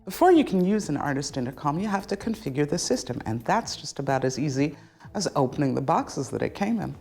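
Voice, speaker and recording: A woman presenting a scripted software tutorial, giving step-by-step instructions directly to the viewer. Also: deep voice, big pitch swings, brisk, soft background music.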